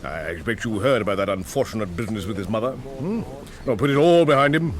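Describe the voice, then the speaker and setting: An actor in a radio drama playing a vague, gossipy upper-class English gentleman who mutters, halts and clears his throat. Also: fairly low pitch, lightly acted. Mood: gossipy, grumbling. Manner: muttering, halting, throat-clearing, tagging on eh and hmm.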